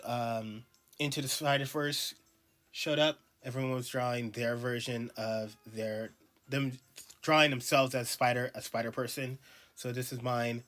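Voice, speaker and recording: Man, bright stuffy voice, casual rambling drawing talk, faint music under it. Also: mid-range voice. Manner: rambling, many ums and uhs. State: congested from allergies. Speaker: artist streaming a drawing session.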